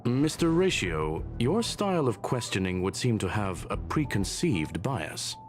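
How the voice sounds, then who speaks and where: A man's voice: lowish voice, sing-song, measured pace, faint music behind. A man voicing a polite, literal-minded robot in a video game, with formal, courteous and clipped phrasing. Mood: polite, reasoning.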